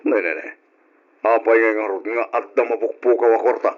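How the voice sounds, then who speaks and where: An actor playing a stern, reproachful father in an old-style radio drama, speaking with husky emphasis. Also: fairly low pitch, fairly even intonation, fairly quick, dull audio, lightly acted. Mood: angry accusing.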